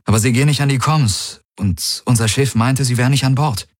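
A man's voice: mid-range voice, a little fast, dry recording, studio quality, voice pushed up, lightly acted. A man voicing a male noble who feigns worry in a breathless, stammering, pleading way in an audiobook. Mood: worried, hesitant. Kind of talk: audiobook character acting.

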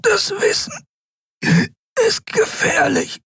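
A frightened young man, begging under interrogation in a high, thin, strangled voice. He gasps between broken phrases, husky and straining for air in this dramatic audiobook scene. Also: lively intonation, fairly bright tone, no room sound, clean studio sound, full character voice. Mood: strained, desperate. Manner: halting, breathless, broken phrases.

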